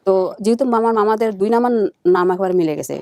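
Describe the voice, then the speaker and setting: Very fast-talking woman, halting and repetitive. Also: medium pitch, darkish tone, dry recording. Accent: Bangladeshi. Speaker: guest searching for birth family. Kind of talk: emotional personal recounting in conversation.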